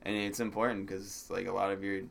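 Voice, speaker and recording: A young man chatting casually on a podcast, soft-spoken and patient as he explains, laughing often. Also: low-pitched, little pitch movement, brisk, vocal fry, slight background noise. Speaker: comedian guest.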